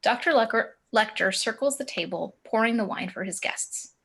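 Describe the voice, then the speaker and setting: A woman reading screenplay action descriptions as narrator in a table read over a video call, in deliberate short phrases. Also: on the high side, animated, a bit bright, husky. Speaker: stage-direction narrator.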